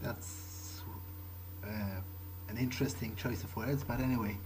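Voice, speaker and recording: A man improvising in-character narration for a game playthrough, speaking in a halting, understated, thoughtful way. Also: mid-range voice, fairly even intonation, background noise. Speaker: gaming video creator roleplaying a character. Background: game music.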